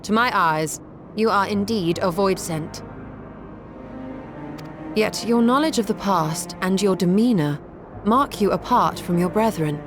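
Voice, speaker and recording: A woman playing a perceptive, composed woman in a fantasy video game, speaking in a formal, courteous, measured way. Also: medium pitch, music in the background, lightly acted. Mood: composed, probing. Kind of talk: dramatic video game cutscene acting.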